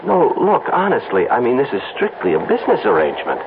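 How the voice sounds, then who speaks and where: An actor playing a flustered, stammering advertising executive in a 1970s radio drama, excitable and smitten. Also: male voice, medium pitch, fast talker. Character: smitten, flustered advertising man, fast-talking. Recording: tinny lo-fi old radio broadcast with hiss.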